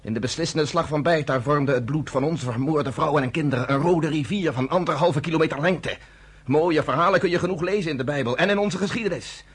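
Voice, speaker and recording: A man's voice: on the high side, a little fast, husky. A man playing Arie, a hard-headed, blunt agent who scoffs at miracles, in a radio play. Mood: bitter. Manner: blunt, sober, dismissive of miracles.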